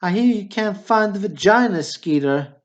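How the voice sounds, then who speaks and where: A man's voice: high-pitched, animated, normal speed. An actor playing a belligerent small-town tough guy who needles people, in an amateur radio play. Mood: taunting. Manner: taunting, needling. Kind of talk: amateur radio drama acting. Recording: dull lo-fi radio drama recording.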